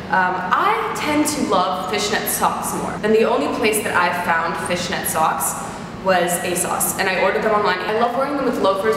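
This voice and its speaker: A young woman in her twenties with a stuffy, nasal voice from a sinus infection, chatting bubbly and rambling to camera about her favorite products. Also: medium pitch. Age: 20s. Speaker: beauty and fashion vlogger. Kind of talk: casual chat to camera. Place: large bright loft indoors. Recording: roomy echoey loft, mic far off, noise behind.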